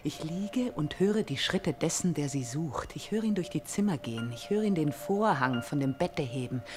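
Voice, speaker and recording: An actress playing a haunted, vengeful young princess in a theatrical radio tragedy, declaiming intensely between hushed murmurs and outbursts. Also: female voice, low voice, expressive, moderate pace, faint music behind. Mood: obsessed, vengeful.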